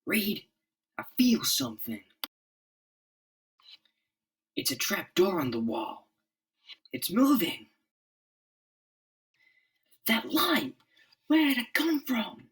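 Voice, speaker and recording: A man voicing an excitable, anxious young male superhero in a comic-book drama, with urgent, melodramatic delivery. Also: high-pitched, slow, pauses often, far from the mic, pitched above the performer's own voice, heavily acted. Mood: uneasy.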